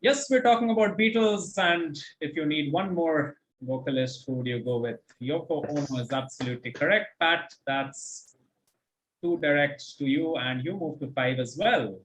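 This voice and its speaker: A man's voice: fairly high pitch, normal speed, fairly bright tone, a little hiss or hum, poor audio. A man hosting a quiz, reading out questions and commenting on answers in an articulate, encouraging, explanatory way. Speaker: quizmaster and host.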